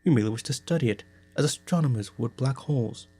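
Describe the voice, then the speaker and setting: Man as refined, smug, mellow gentleman mage. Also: mid-range voice, quick pace, soft background music, lightly acted. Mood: smoothly reassuring. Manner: polite, formal. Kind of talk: comedic anime parody dub.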